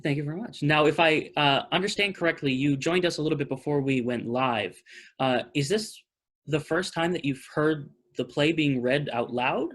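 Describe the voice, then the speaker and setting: A man's voice: fairly high pitch, moderate pace, fairly bright tone. A man hosting an informal post-show talk in a polite, hesitant conversational way. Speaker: talkback host. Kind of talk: informal moderated talkback.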